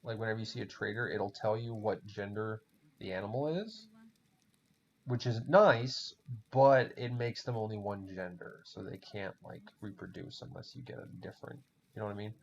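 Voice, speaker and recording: Casual gamer host, German accent, low gravelly voice, loose swearing ramble. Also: male voice, moderate pace, a little hiss or hum. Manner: rambling, swears often, laughs a lot. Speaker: podcast host playing a game. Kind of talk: casual chat while gaming. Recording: dull lo-fi recording with faint music.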